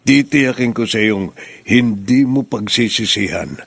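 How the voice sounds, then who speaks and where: An actor playing a patient, reassuring middle-aged father in a Filipino radio drama, with a gravelly, husky voice. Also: lowish voice, a little slow, fairly bright tone. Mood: gentle, reassuring.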